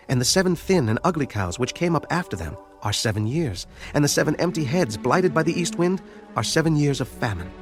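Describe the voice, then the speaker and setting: A medium-pitched young prophet of ancient times , played by a male actor, solemn and assured. He speaks in measured phrases over faint music, as audio Bible theater. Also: close to an everyday voice. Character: earnest young Hebrew man Joseph, wise dream interpreter. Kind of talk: dramatized audio Bible acting.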